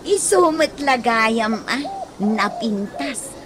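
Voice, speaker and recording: A woman's voice: a little high, lively intonation, takes it slowly. An actress playing a teasing, gossipy, playful village woman in a radio comedy drama. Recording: noisy radio drama, bird ambience.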